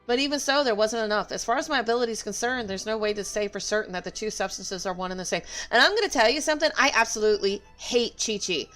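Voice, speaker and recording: A woman's voice: medium pitch, lively intonation, fast, few pauses, fairly bright tone, faint music behind. A woman game streamer talking casually on a livestream and reading game dialogue aloud. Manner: opinionated, emphatic.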